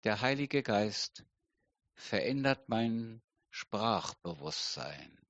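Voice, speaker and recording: A middle-aged man preaching a sermon slowly and deliberately, with emphatic pauses. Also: fairly low pitch, big pitch swings, gravelly, a bit bright, no room sound, highs cut off. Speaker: pastor.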